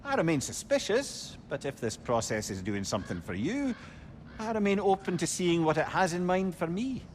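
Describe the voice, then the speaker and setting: Animated, wry old Scottish storyteller. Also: male voice, sits high, normal speed, a little hiss or hum. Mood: reflective. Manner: wry, loquacious, old-fashioned wording. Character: genial, witty old severed head, former fairy trickster. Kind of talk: video game dialogue, storytelling banter.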